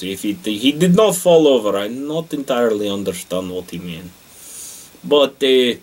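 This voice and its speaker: A man's voice: medium pitch, recorded from a distance, lightly acted. A man playing a boastful, jokey Russian character in a comedy monologue, speaking broken English with a Russian accent and calling himself by name. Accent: Russian (put on). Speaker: comedic character rating things.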